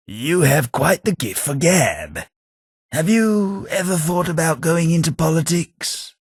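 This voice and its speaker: A snooty old undead british noble, slow dry sarcastic drawl, bright edgy husky tone. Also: male voice, medium pitch, no echo, exaggerated voice. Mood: sardonic. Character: sardonic undead British aristocrat ghoul, centuries old. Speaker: companion character. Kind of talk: game voice lines.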